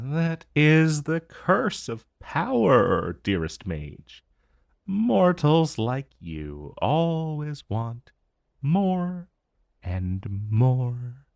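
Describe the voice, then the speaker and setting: Man voicing a slow, haughty ancient dragon. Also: mid-range voice, very animated, creaky, no room sound, clean studio sound, exaggerated voice. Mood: smug, knowing. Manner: slow, theatrical, condescending purr with mocking endearments. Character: ancient male dragon in human form, haughty, teasing, vaguely menacing. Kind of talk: fantasy ASMR roleplay audio, addressing the listener.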